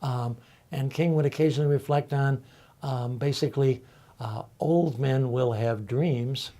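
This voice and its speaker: An older man on a discussion panel, speaking thoughtfully and haltingly, with many pauses and fillers. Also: mid-range voice, warm tone. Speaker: panel guest, college faculty member.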